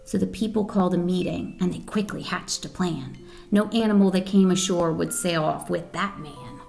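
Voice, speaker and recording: A woman reading a picture book aloud to children as a storyteller, with an expressive, dramatic manner. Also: lowish voice, normal speed, creaky, faint music behind. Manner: expressive, dramatic reading with questions to young listeners. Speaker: volunteer storyteller.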